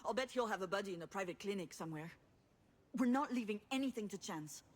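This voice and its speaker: A woman's voice: medium pitch, animated, fast talker, close to an everyday voice. An actress playing a headstrong, combative and loyal adult woman, speaking bluntly and insistently in a naturalistic video game drama. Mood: urgent, insistent.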